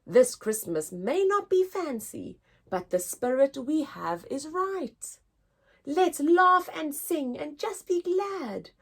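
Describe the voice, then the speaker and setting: A woman voicing a small, excitable, cheerful male Christmas elf for a children's storybook, in an exclamatory, teaching manner. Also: sits high, slow pace, pitched above the performer's own voice, heavily acted. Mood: cheerful, reassuring.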